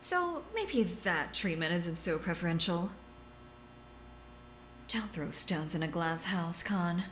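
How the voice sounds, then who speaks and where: A woman's voice: lowish voice, expressive, takes it slowly, pauses often, a bit bright, a little hiss or hum. A woman playing a blunt, scornful doctor who trades dry, cutting sarcasm. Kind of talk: audio drama acting.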